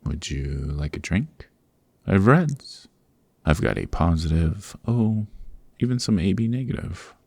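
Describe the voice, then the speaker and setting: Man acting a very deep, gravelly vampire. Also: expressive, unhurried, darkish tone, no echo, exaggerated voice. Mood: playful, hospitable. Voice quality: gravelly, husky. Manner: theatrical, playful, rambling asides. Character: male vampire, over a century old, playful, chatty, theatrical host. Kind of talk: ASMR roleplay, close and conversational. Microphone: close, dry microphone.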